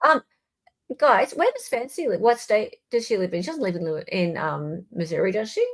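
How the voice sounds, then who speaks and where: A woman's voice: medium pitch, very animated, normal speed. A woman hosting a casual live legal commentary chat, talking informally and directly to her viewers. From Australia. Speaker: livestream host.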